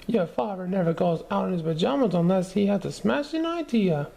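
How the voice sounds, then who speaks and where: A man's voice: high-pitched, lively intonation, fairly quick, gravelly, dark tone, pitched above the performer's own voice. A man voicing a kindly, reassuring mother in a children's storybook, with a cheerful raised voice.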